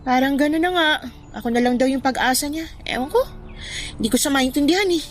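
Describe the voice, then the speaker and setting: Actress as a high-voiced young woman friend. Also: quick pace, soft background music, lightly acted. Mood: troubled. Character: playful, teasing young woman, boy's childhood best friend. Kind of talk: radio drama acting.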